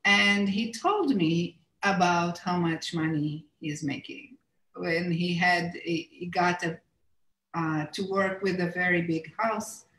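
Slow-spoken Israeli woman on a video call. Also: lowish voice, a bit bright. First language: Hebrew. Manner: thoughtful, many fillers and restarts. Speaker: author and illustrator, interview guest. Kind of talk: casual interview over video call. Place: home room with bookshelves. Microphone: video call, computer mic. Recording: roomy video call audio.

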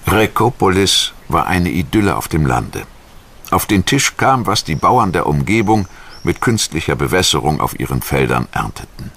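A man reading scripted documentary narration in a measured, formal manner, as a studio voice-over. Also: sits low, very animated, a bit bright. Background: music.

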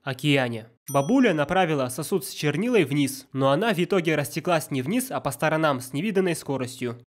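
Higher-pitched man, sarcastic scripted commentary. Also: expressive, a little fast, slight background noise. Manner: sarcastic, pedantic. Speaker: YouTube film critic, narrator.

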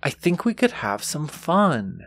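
A man's voice: fairly high pitch, wildly swooping pitch, a little slow, fairly bright tone, exaggerated voice. A man playing a teasing, flirtatious, gleefully wicked demon suitor in an intimate audio roleplay, drawling seductively to the listener. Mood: flirtatious, playful. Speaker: voice actor.

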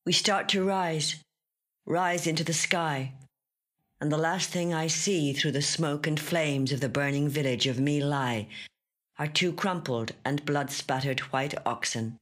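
A woman actor reads a literary short story in a dramatic, measured and deliberate narrating style, recorded in a studio. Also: low-pitched. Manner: measured, deliberate, repeating phrases for weight.

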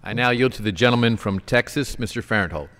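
A man's voice: medium pitch, fast, a bit bright. A man presiding over a legislature, making formal procedural announcements. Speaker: presiding legislator yielding the floor. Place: large legislative chamber. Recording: long hall reverb, chamber microphone.

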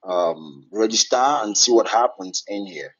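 A man with a foreign accent speaking haltingly with many fillers on an online voice call. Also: fairly low pitch, lively intonation, slightly rough, lo-fi sound. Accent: foreign English. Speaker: caller.

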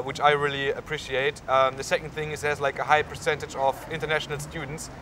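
A young man with a German accent, a university student answering interview questions outdoors in a town square, with many fillers. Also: on the high side. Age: young adult. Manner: lots of fillers, gestures while talking. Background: faint street and traffic noise.

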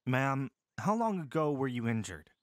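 An actor playing a kind but firm male army medic in a full-cast audiobook, speaking with calm authority. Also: mid-range voice, moderate pace, no room sound, studio quality, natural voice.

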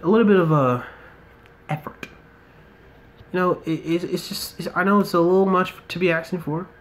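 A young man from the United States giving relaxed commentary while playing a mobile football game, muttering asides and ranting now and then. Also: fairly high pitch, a little hiss or hum. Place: indoor room.

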